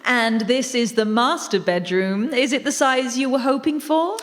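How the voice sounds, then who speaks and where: A woman's voice: on the high side, moderate pace. A woman performing short comic sketches as a panellist on a live show. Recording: echoey hall, loud noise.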